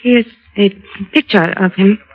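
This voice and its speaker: Casting: cool, coy American society wife for radio noir, medium pitch, dull vintage sound. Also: female voice, a little slow, slightly rough. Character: cool, flirtatious wealthy wife, a femme fatale client. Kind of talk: 1940s-50s radio noir acting. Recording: dull old radio recording.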